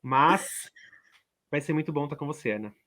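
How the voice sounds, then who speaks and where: Bright-voiced Brazilian man on radio. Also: fairly high pitch, moderate pace. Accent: Brazilian Portuguese. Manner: chatty, warm-hearted, uses many tag questions. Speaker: radio co-host. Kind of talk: casual radio chat. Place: radio studio.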